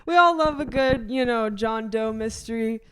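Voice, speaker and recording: A woman's voice: a little high, fairly bright tone, smooth, poor audio. A young American woman co-hosting a comedy podcast, joking and laughing as she argues a playful debate. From United States. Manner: joking, quick, laughs often.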